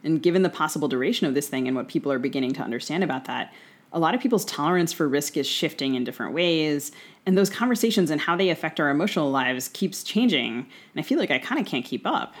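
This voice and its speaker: A woman's voice: low-pitched, lively intonation, quick pace. A woman with an American accent co-hosting an interview podcast, conversational, articulate and analytical. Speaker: podcast co-host.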